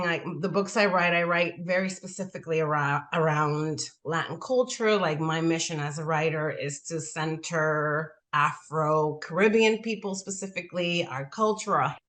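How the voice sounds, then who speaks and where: Woman, 40s, crisp bright tone, chatty, lots of "like" fillers. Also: on the low side. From Dominican Republic. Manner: chatty, heavy use of "like" fillers. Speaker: guest author. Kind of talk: casual interview answers.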